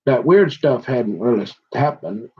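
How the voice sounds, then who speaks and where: A man's voice: mid-range voice, expressive, unhurried, husky. A man in a rambling, casual monologue, talking straight to his viewers and restarting his sentences often. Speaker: vlogger. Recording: dull lo-fi audio.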